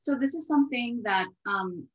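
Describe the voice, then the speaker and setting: A woman's voice: a little high, animated, moderate pace, echoey room, rough recording. A woman hosting a casual interview in a chatty, rambling way, often interrupting herself. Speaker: interview series host.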